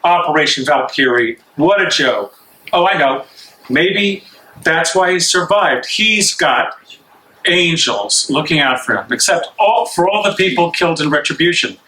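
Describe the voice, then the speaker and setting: A man's voice: fairly high pitch, lively intonation, measured pace, bright, forceful tone, poor audio. A man acting an angry, bitter imprisoned dissident in an amateur stage reading, agitated, challenging and insistent.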